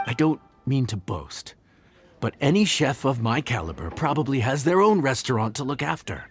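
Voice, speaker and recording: A man's voice: medium pitch, big pitch swings, moderate pace, husky. An actor voicing an earnest, proud and fussy male inn chef in a video game, with a hesitant manner. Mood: measured, reluctant. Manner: stammering, hesitant when flustered. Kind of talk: animated video game dialogue. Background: background music.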